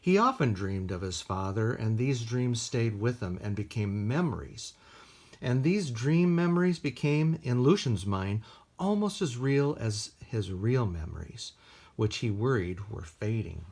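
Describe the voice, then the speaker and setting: A man with an American accent reading aloud at a children's storytime, expressive and deliberate, with casual asides in simple words. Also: mid-range voice. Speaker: library storytime reader.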